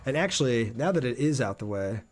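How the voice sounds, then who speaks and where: A man's voice: mid-range voice, lively intonation, a little fast. Casual man streaming a game. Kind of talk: casual live gameplay commentary.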